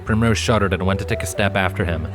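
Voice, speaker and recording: A man with a low-pitched voice narrates a story in audiobook style. Soft music plays behind his scripted reading. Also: fast. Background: background music.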